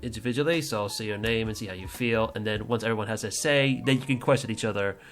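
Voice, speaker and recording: A man's voice: lowish voice, fast, faint music behind. A man hosting a casual livestream podcast, rambling and teasing as he introduces his friends. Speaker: podcast host.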